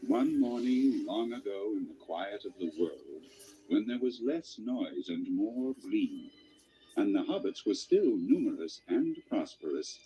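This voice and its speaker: A man narrating a fantasy radio play as a storyteller, measured and expressive, savoring each detail. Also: lowish voice, highs cut off. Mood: storytelling. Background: music.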